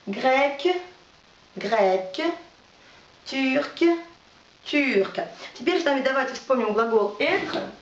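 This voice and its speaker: Patient woman teaching French, distant and roomy. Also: a little high. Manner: patient, repeats words slowly for the listener. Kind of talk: video language lesson. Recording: roomy, distant, dull with faint hiss.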